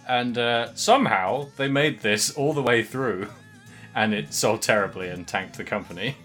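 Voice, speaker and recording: A man's voice: mid-range voice, unhurried, a bit bright, soft background music. A man co-hosting a casual podcast, rambling loosely, laughing often and trailing off mid-sentence. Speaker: podcast co-host.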